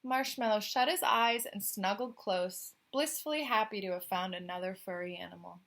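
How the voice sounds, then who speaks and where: Bright-voiced woman reading a picture book aloud. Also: medium pitch, normal speed. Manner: animated, questioning asides to young listeners. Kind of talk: expressive children's read-aloud with chatty asides.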